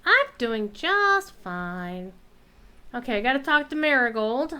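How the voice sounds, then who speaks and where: A woman's voice: a little high, animated, unhurried, pushed and bright, clean tone. A woman game streamer chatting casually, talking to herself and her viewers as she plays. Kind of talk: casual live gameplay chat.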